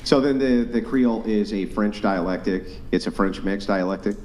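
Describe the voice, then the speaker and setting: A man's voice: lowish voice, moderate pace, darkish tone, a little hiss or hum. A man hosting a podcast panel, arguing and pressing guests with questions. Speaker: podcast host. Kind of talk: heated panel debate.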